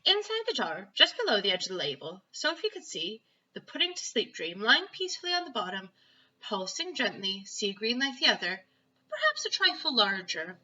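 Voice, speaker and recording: Woman, expressive storybook reading, lively swooping pitch, sharp bright voice, muffled home recording. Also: moderate pace, husky. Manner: animated, emphatic. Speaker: primary teacher reading aloud.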